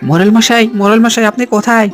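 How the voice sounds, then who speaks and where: A man puts on a high-pitched, sneaky henchman for a cartoon. He is excitable and servile, always eager to please his master, with music underneath. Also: racing, close to an everyday voice. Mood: flustered, eager. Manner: obsequious, excitable. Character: sneaky, fawning henchman of a village boss, a man. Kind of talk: Bengali cartoon voice acting.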